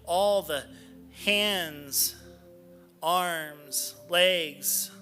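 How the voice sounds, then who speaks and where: Slow, bright-voiced man leading prayer. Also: on the high side, soft background music. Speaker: spiritual practitioner reading inspiration and leading prayer. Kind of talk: devotional reading and spoken prayer. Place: church sanctuary, speaking to a congregation.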